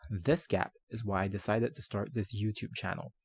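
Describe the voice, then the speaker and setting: A man who speaks Chinese and English natively, narrating a scripted educational video in a measured, articulate, formal way. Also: fairly low pitch, fairly even intonation, breathy edge. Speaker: language-learning video creator.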